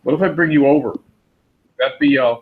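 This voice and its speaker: A man's voice: medium pitch, fairly quick. A man with a Pennsylvania Dutch accent hosting a live webinar, chatting with viewers and calling on them by name. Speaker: webinar host. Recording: dull lo-fi audio.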